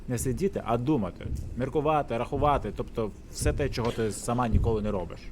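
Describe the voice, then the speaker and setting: A man's voice: medium pitch, fast, noisy background, voice pushed up, close to an everyday voice. A man voicing a haughty, mocking landlord in a theatrical visual novel, pompous and condescending. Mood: irritated.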